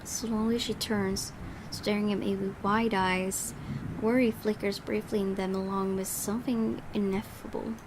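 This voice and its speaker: A woman's voice: mid-range voice, little pitch movement, unhurried, background noise. A woman streamer reading a horror visual novel aloud, with joking asides between passages. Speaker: game streamer. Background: game music.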